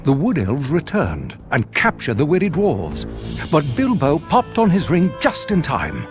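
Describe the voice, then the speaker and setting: A man's voice: fairly high pitch, huge pitch swings, measured pace. A man playing the narrator of a fantasy game, reading scripted storybook narration. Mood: storytelling. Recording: clear music under the voice.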